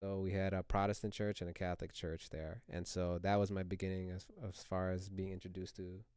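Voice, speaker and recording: Deep, flat-voiced young man. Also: fairly quick, clean tone, dry recording, studio quality. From Saudi Arabia. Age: young adult. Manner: relaxed, rambling, easygoing. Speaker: guest. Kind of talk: casual conversational testimony.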